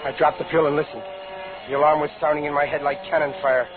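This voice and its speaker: An actor playing a tough, cocky veteran safecracker with brash, slangy talk in a 1950s radio crime drama. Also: male voice, a little high, little pitch movement, fast, close to an everyday voice. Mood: wry, tense. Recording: scratchy, noisy, narrowband 1950s radio recording.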